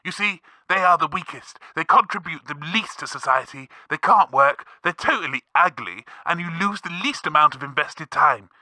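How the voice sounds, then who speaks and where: Guy doing whiny bigot slug on radio comedy, really sharp highish voice, always aggrieved. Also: lively intonation, normal speed, hardly any pauses, dry recording. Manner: whining, aggrieved, ranting. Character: bigoted, indignant giant talking male slug caller. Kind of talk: scripted comedy radio phone-in acting.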